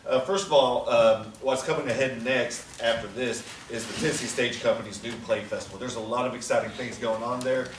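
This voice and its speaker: A man's voice: mid-range voice, steady tone, fairly quick, hardly any pauses. A man making casual announcements to a theater audience, chatty and teasing. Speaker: theater company organizer. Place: theater or event room. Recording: roomy, from far off, faint noise.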